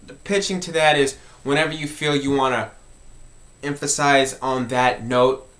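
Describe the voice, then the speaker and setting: A man's voice: medium pitch, fairly even intonation, normal speed, a bit bright, a little hiss or hum. Man giving an informal tutorial. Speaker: music tutorial host. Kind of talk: informal instructional talk.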